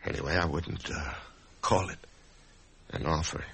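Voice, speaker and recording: An actor playing an anxious, death-haunted office man in a radio drama, speaking in a halting, emotional way. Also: a bass voice, steady tone, fairly bright tone, husky, full character voice. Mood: uneasy. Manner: halting, emotional, swinging from brooding to agitated. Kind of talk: old-time radio drama acting, first-person narration and dialogue.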